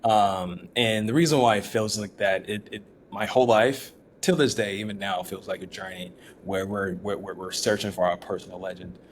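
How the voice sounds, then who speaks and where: Deep-voiced founder from Ghana. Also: male voice, a little hiss or hum. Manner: halting, many restarts and fillers. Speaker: startup founder, guest. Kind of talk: casual interview talk.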